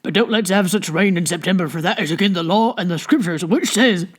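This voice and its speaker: A woman voicing a doleful old male villager in his seventies with a rural English dialect and a slow, mournful drawl. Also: on the low side, close to an everyday voice. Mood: querulous.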